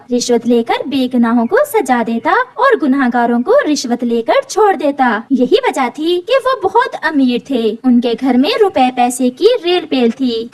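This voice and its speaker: A woman narrator reading a scripted children's cartoon story. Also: high-pitched.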